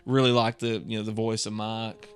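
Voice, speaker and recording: A man's voice: lowish voice, level tone, moderate pace, faint music behind. A man co-hosting a podcast, talking in a casual, rambling, conversational way. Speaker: podcast co-host. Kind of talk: casual chat.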